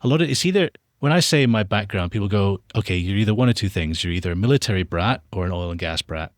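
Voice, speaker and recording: A man with a soft, international Scottish lilt, telling stories conversationally as an interview guest. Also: sits low, lively intonation, fast, no room sound, studio quality. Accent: soft Scottish lilt mixed with international. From Australia, Dubai and Texas, raised internationally. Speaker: podcast guest, documentary filmmaker.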